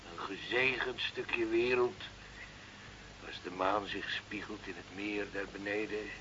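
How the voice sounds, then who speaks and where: Slow-spoken pious village priest. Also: male voice, fairly low pitch, sharp tone, full character voice. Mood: dreamy, wistful. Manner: unhurried, pious, fond of quoting scripture. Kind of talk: radio play acting. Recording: muffled, hissy old radio recording.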